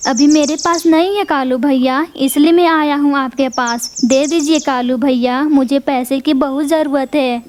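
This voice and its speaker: Desperate poor crow brother, begging, woman voicing, highish. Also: a little hiss or hum. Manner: pleading, repetitive.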